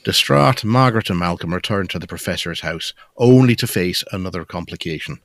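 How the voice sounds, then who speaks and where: A man's voice: sits low, fairly quick, a bit bright, dry recording. A man reading scripted narration for a gothic audio drama.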